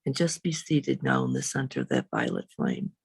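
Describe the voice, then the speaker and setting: A woman reverend leading a guided meditation in a slow, soothing, unhurried way, with long pauses. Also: huge pitch swings, darkish tone, no echo. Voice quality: low, husky, creaky.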